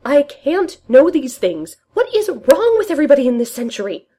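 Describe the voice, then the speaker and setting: A woman voicing a flustered, upright male soldier, loud and scandalized. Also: sits high, big pitch swings, normal speed, pitched above the performer's own voice.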